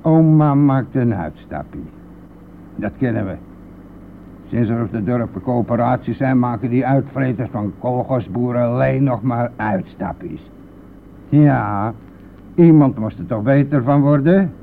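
Cheeky bus driver, tinny old recording. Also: male voice, mid-range voice, expressive, a little slow, gravelly. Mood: mocking, chatty. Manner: teasing, blustering, chuckling at own jokes. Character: cheeky, nosy, grumbling male village bus driver. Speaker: radio drama actor. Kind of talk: Dutch radio play acting. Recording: tinny narrow-band old radio recording, vehicle noise.

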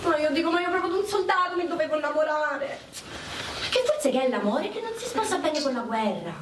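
A woman playing a lively, playful twenty-year-old country girl in love in wartime, with a southern Italian accent, in a theatrical dialect monologue. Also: high voice, a little fast. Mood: giddy, tender. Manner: animated, playful, rhetorical "eh?" tags. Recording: roomy, distant mic with clear noise.

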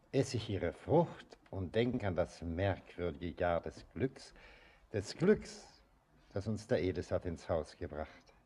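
A man's voice: on the low side, a little mellow, slightly rough, full character voice. An actor playing a brooding, sentimental ageing father and mine master in a German radio play, declamatory and lyrical. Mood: moved, proud.

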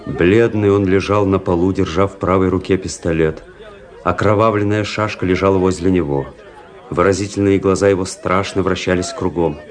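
A man playing a composed, daring army officer who narrates his own tale in a measured, grave, literary way for a radio play. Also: deep voice, steady tone, some chatter behind, natural voice. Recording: music behind the voice.

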